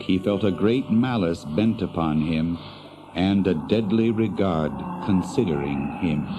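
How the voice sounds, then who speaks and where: A man narrating a dramatised fantasy tale in a grave, ominous, deliberate manner. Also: sits low, background noise. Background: music.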